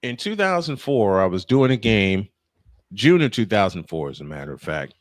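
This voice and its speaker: A man calling baseball play-by-play and telling anecdotes between pitches. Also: very animated, normal speed, no room sound. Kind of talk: live sports commentary with anecdotes.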